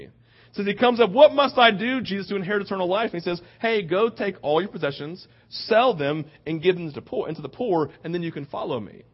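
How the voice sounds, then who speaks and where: Male preacher, high-pitched voice, earnest conversational delivery with rhetorical questions, on a dull lo-fi recording. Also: husky. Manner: acts out imagined dialogue informally. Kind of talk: conversational sermon.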